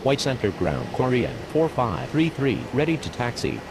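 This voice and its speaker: Gravelly and lively in pitch, an actor plays a male pilot and controller. He gives formulaic radio calls over clear noise and vehicle rumble. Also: normal speed. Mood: businesslike. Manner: procedural, formulaic. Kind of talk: scripted aviation radio phraseology. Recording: noisy, with vehicle rumble behind.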